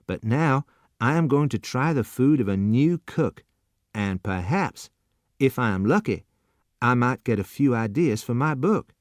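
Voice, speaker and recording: A man voicing a naive, eager seventeen-year-old boy who exclaims with wide-eyed excitement. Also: mid-range voice, unhurried, no echo, studio quality, natural voice.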